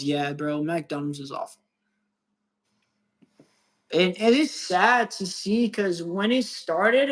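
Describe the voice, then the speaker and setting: A young man from Brazil chatting casually as a podcast co-host, loose and profane, often restarting his sentences. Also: sits high. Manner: loose, swearing, rambling with restarts. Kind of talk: casual chat.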